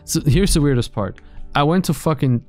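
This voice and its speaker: A man in his thirties streaming from a small gaming room into a boom mic, chatting casually with his viewers, joking and swearing freely. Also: moderate pace, a little mellow, dry recording, soft background music. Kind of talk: casual chat reading live chat. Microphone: studio mic on boom arm, headphones.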